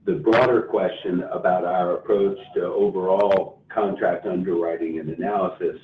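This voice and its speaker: Male corporate executive, low register, measured and careful, far from the mic on a faintly noisy conference line. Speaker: company executive answering investor questions. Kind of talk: earnings call answers. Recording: roomy, distant phone-like line with faint noise.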